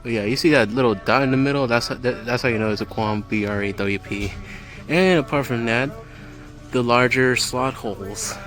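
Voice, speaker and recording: A man's voice: mid-range voice, moderate pace, a bit bright. A man with a mid-range voice talks casually as he films a walk-through tour. He makes unscripted, hobbyist observations as he goes, and music plays faintly somewhere behind him. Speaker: vlogger giving a store tour. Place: inside retail stores, later outside. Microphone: handheld camera. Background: faint store music.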